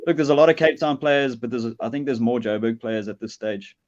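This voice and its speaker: A man, speaking in a relaxed, matter-of-fact way as a guest. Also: mid-range voice, fairly quick, lo-fi sound. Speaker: guest paintball player. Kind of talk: casual chat.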